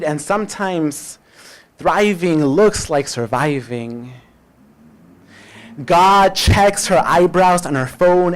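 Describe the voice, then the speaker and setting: A man reciting spoken-word poetry as a poet, with deliberate, emphatic phrasing and dramatic pauses. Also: high-pitched, clean tone, poor audio.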